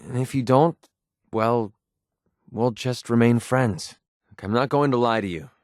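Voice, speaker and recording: An actor playing a guarded, wounded mercenary in a video game, sarcastic and quick-tempered but loyal, speaking in a plain, earnest, edgy way. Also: male voice, mid-range voice, darkish tone, no echo, clean studio sound, lightly acted. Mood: earnest, troubled. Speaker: companion character in a video game. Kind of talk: naturalistic video game voice acting.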